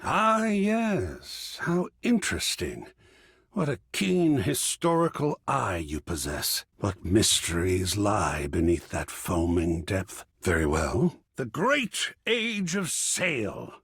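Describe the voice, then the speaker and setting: A man's voice: on the low side, very animated, slow, a bit bright, husky, no room sound, studio quality, exaggerated voice. An actor voicing an effusive, grandiloquent art connoisseur in a video game, savoring his words with dramatic flair. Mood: effusive, intrigued. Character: effusive, theatrical art connoisseur, a living piece of artwork. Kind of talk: theatrical game character dialogue.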